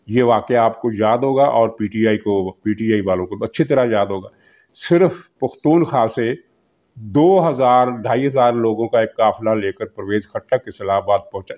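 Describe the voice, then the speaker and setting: A man's voice: mid-range voice, darkish tone. A man with a Pakistani accent giving unscripted political commentary, explaining things and repeating phrases for emphasis.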